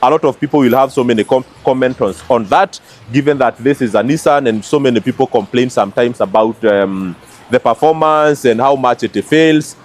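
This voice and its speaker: A man's voice: mid-range voice, a little fast. A man presenting his vlog outdoors beside a car, talking chattily with many fillers. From Kenya. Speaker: vlog host.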